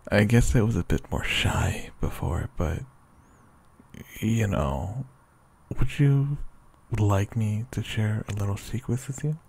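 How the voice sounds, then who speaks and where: A young man with a whispery voice speaks softly and sleepily in a teasing boyfriend roleplay, often breaking into quiet laughs. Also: lowish voice, creaky, breathy edge. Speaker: ASMR roleplay performer. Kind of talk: soft-spoken ASMR boyfriend roleplay.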